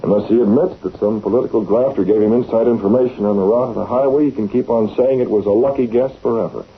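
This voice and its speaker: An actor playing a confident, principled newspaper publisher with a measured, authoritative manner in old-time radio drama style. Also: male voice, on the low side, breathy edge. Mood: matter-of-fact, cynical. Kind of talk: 1940s radio acting. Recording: old, noisy, narrow-band radio recording.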